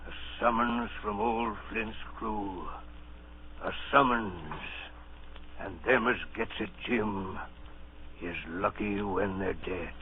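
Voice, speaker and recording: An actor playing an ailing, fearful old sea captain in a radio drama, with rough sailor talk and pleading bluster. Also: male voice, on the low side, slow pace, slight background noise. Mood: grim, confiding. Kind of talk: 1930s radio acting. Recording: dull old radio recording with music.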